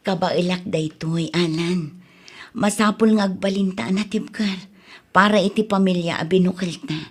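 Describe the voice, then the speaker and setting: A woman's voice: lowish voice, steady tone, normal speed, slightly rough, no echo. Woman doing a firm wife giving her man a lecture, dry mic, radio drama. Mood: tender.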